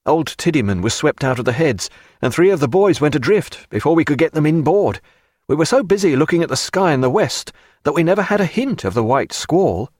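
Young male naval officer acted by a man, eager, earnest, brisk, raised pitch, animated, audiobook character. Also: no room sound, clean studio sound. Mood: excited.

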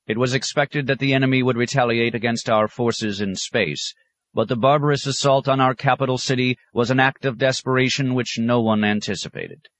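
A man's voice: fairly low pitch, a bit bright, pitched above the performer's own voice, lightly acted. A man voicing an urgent, formal radio emergency news announcer in an audiobook. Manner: formal, crisp bulletin delivery.

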